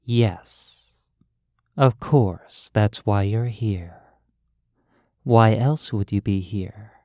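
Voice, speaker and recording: Hypnotist for guided sleep imagery: soothing, deliberate, very slow delivery with long pauses, very dry sound. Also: medium pitch, warm tone, no room sound. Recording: faint ticking clicks behind dry close voice. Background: ticking pocket watch.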